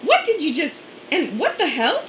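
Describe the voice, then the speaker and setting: A feisty young woman snaps back quickly and sassily, as a woman plays her. Her voice is high and lively. It's recorded in an echoey room with faint noise. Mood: angry. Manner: quick, sassy, combative.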